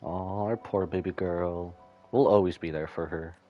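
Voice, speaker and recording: A man's voice: low voice, fairly even intonation, unhurried, muffled recording, soft background music. A man doing casual live commentary on a game, sentimental and musing aloud to himself as he reacts. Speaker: game streamer.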